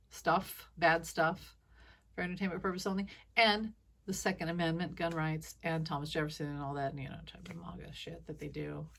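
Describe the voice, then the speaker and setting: A woman's voice: fairly low pitch, a bit bright. A woman with a scratchy voice from allergies, rambling through a casual solo monologue as a psychic commentator, with chuckling asides. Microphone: phone.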